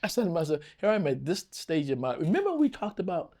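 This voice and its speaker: A man's voice: on the high side, big pitch swings, quick pace, darkish tone. A man of seventy talking with his son outdoors in the evening, reflective and earnest, leaning on his words like a preacher. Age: 70s. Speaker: father, podcast co-host. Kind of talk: casual faith conversation. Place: outdoors at a home, Sunday evening.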